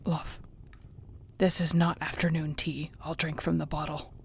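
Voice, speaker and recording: A woman playing a battered, tough but affectionate orc girlfriend in an intimate fantasy audio roleplay, with a husky, gravelly voice and a wry, wearily understated way of talking. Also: low-pitched, level tone, measured pace, a little mellow, a little hiss or hum. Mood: weary, stubborn. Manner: sighing, conversational, wearily understated.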